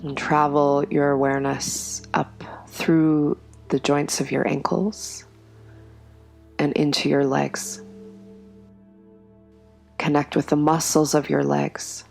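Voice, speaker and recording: A woman guiding a yoga nidra meditation in a slow, soft, soothing way, with long pauses between phrases. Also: deep voice, gravelly. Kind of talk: guided meditation.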